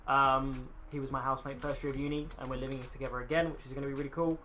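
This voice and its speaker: Bright-voiced guy in his twenties. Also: medium pitch, level tone, very fast, soft background music. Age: 20s. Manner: rambling, chatty, swears casually, talks to chat. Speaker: game streamer. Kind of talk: casual live-stream chat.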